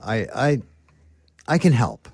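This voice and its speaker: A man's voice: medium pitch, big pitch swings, takes it slowly, darkish tone, slightly rough. A middle-aged man gives plain-spoken answers as a guest in a radio interview. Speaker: radio guest, store manager.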